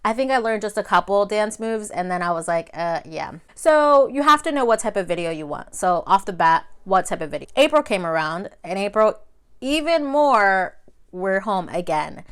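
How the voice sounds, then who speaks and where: A woman's voice: medium pitch, animated, normal speed, clean tone. A woman who is a native Spanish speaker, chatting casually in an advice vlog, conversational and repeating phrases for emphasis. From Mexico. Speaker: video creator.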